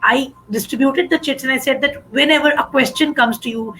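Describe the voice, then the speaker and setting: Expressive woman teacher. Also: high voice, a little fast, rough recording. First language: Gujarati. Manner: animated, expressive storytelling with many fillers. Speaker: English teacher. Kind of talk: conversational panel discussion. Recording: faint background noise.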